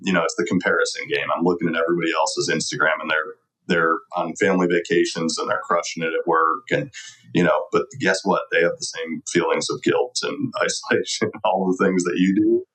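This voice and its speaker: A man's voice: sits low, steady tone, brisk, a bit bright, poor audio. A middle-aged man answering conversationally as an interview guest, earnest and reflective. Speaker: podcast guest, lawyer.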